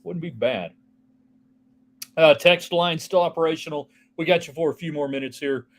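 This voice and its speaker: A man's voice: on the high side, normal speed. A man hosting a casual solo sports talk show, rambling from topic to topic and answering viewer texts. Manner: rambling, offhand, jumps between topics.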